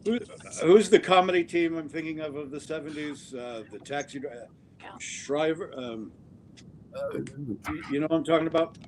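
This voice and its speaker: Male voiceover guest, playful and joking, faint rumble in the background. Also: moderate pace. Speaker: voice actor guest. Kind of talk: casual chat.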